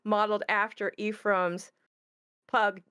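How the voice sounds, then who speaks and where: A woman with a clear, resonant voice at a middling pitch. She chats casually about creative work in a wry, good-humoured way. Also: flat delivery, takes it slowly, pushed and bright. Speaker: game creator discussing her project. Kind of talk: casual discussion.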